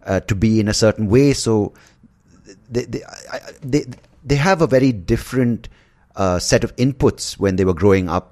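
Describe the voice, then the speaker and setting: A man in his forties hosting an interview, asking long, halting questions full of fillers. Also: deep voice, a little mellow. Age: 40s. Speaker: podcast host.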